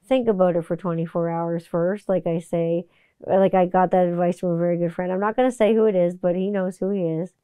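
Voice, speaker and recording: A woman in her forties hosting a podcast, talking in a blunt, profane, animated monologue. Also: fairly low pitch, a little fast, clean tone, no echo. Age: 40s. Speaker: podcast host. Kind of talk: casual monologue.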